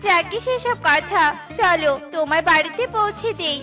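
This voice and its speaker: A woman's voice: very high voice, animated, moderate pace, over music. Woman playing a tiny-voiced, kind little bird. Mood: caring. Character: kind-hearted, earnest young female bird. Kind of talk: children's cartoon voice acting.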